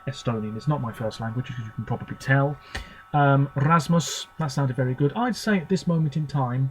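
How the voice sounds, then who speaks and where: A man's voice: mid-range voice, slight background noise, poor audio. A young man hosting his own video, giving short, hesitant first reactions to songs between clips. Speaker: video host reviewing contest songs. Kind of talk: casual off-the-cuff commentary.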